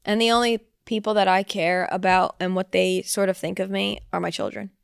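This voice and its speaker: A woman's voice: mid-range voice, level tone, fairly quick, creaky, clear, resonant tone, dry recording, clean studio sound. A young woman co-hosting a podcast, talking casually in an emphatic, blunt way. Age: young adult. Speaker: podcast co-host. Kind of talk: casual group chat.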